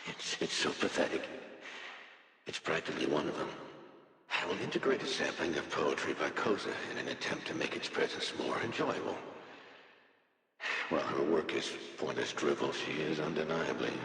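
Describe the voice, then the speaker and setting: Cold contemptuous alien inventor, low creaky voice, deliberate game monologue, heavy cavernous echo. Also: male voice, fairly bright tone, exaggerated voice. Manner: haughty, deliberate, disdainful musing. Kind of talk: video game audio log monologue. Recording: very echoey hall with long reverb.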